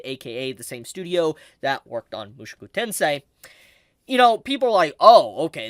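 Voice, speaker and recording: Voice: mid-range voice, no room sound. Dry close-sounding anime commentator, emphatic and unscripted. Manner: emphatic, repeats points for stress.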